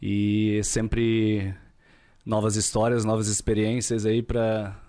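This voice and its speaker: A Brazilian man talking casually as a podcast guest, unhurried and sometimes searching for his words. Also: lowish voice, monotone, dark tone. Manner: unhurried, searches for words, frequent tag questions. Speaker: podcast guest, real estate broker. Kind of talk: casual interview chat.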